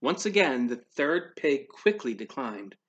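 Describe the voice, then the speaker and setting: Animated, slow-paced male storybook reader. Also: mid-range voice, dull audio. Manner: pauses to quiz young listeners. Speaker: read-aloud storyteller for children. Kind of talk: expressive storybook narration.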